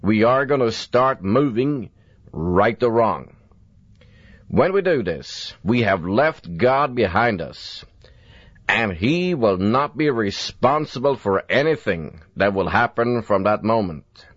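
Slow, deep-voiced older preacher. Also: male voice, lively intonation, no echo. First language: Swedish. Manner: slow, earnest preaching with deliberate emphasis. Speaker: evangelist preacher. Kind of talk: recorded sermon. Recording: dull lo-fi dry recording.

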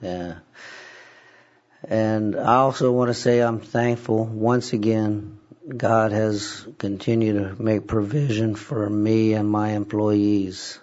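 A hoarse, raspy-voiced man leads a church gathering, speaking very slowly with a fairly low voice. He talks in a halting, unhurried way, full of pauses and fillers. The recording sounds dull and lo-fi. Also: fairly even intonation, darkish tone. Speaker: church service leader. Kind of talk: casual talk from the pulpit. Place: church service.

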